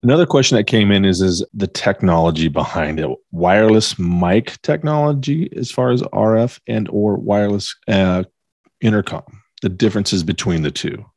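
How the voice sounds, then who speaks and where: A man hosting a podcast interview in a conversational way, with a husky voice. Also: lowish voice, normal speed, a little mellow, no echo, studio quality.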